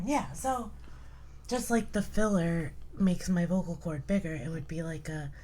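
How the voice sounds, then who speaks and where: A woman in her thirties with a low, breathy voice from vocal cord paralysis, chatting as a podcast guest in halting short bursts and running out of breath. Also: a little mellow, slight background noise. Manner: halting, explains in short chunks, runs out of breath. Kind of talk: casual chat. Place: houseboat.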